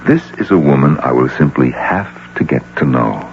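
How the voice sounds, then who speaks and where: Deep, gravelly, earnest American businessman suitor. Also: animated. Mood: earnest, wooing. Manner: halting, earnest, emphatic. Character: earnest, lovestruck wealthy businessman, awkward yet insistent suitor. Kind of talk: old-time radio drama acting. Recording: dull hissy radio drama recording with hum.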